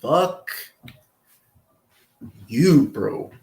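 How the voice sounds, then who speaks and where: A man's voice: mid-range voice, lively intonation, very slow, a little mellow, reverberant. A man from California talking crude and jokey on a casual livestream, easily flustered. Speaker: streamer reacting to videos.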